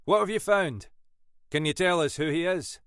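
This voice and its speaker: A man's voice: fairly high pitch, normal speed, fairly bright tone, clear, resonant tone, no room sound, clean studio sound, voice pushed up. A man voicing a weary older police inspector in an audiobook story, with a wry, avuncular, teasing manner. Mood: amused, teasing.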